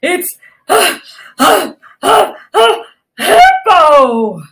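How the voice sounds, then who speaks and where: A big storybook rhinoceros, acted out by a woman, with a bright, forceful delivery that swings widely in pitch. The beast is rude and gruff, yet quick to dissolve into breathless giggles. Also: huge pitch swings, heavily acted. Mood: helpless laughter. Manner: growly and menacing, or breathless with laughter. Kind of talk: animated children's storybook reading.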